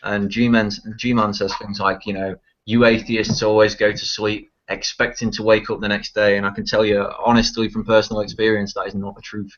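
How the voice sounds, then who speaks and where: A man's voice: flat delivery, normal speed, hardly any pauses, fairly bright tone. A young man from England with a Manchester accent, telling a long travel story in casual, rambling, swearing chat. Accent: Manchester English. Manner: rambling and laughing, swears freely. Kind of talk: casual chat on a live stream. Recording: muffled low-fidelity stream audio.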